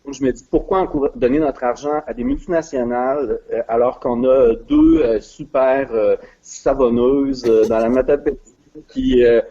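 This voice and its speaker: A man's voice: medium pitch, steady tone, normal speed, dark tone, highs cut off. A middle-aged man with a Quebec French accent, a guest in a casual interview, talking hesitantly with many fillers and restarts. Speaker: guest, regional business development adviser.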